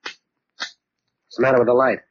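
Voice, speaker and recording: An actor playing a tough, impatient, wisecracking investigator with a husky voice in a 1940s radio drama. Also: male voice, mid-range voice, little pitch movement, unhurried. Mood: brisk, impatient. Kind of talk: 1940s hard-boiled radio drama acting. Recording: dull 1940s radio recording.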